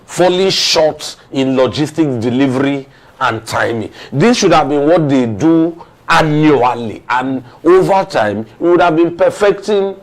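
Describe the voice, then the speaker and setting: A man in his forties with a Nigerian accent speaks as a guest on a TV panel, in deliberate, formally worded phrases. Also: a little high, poor audio. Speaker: studio guest commentator. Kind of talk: TV panel discussion.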